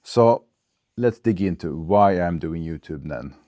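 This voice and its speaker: A low, mellow male voice with a clear Swedish accent, musing to camera in unscripted English. He is in his forties and hesitant, filling gaps with ums and restarting his sentences. The sound is close and dry, from a small clip-on mic. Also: lively intonation, no echo. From Sweden. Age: 40s. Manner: thinking aloud, halting with fillers and restarts. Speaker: vlogger. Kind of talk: unscripted casual vlog. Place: recorded on a phone camera. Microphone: small clip-on wireless mic.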